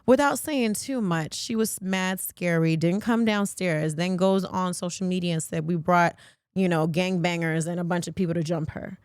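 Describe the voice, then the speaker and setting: A woman's voice: lowish voice, normal speed, a little mellow, smooth, dry recording, clean studio sound. A woman hosting a podcast with casual, gossipy talk in a brash, sassy, slangy manner. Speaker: podcast host.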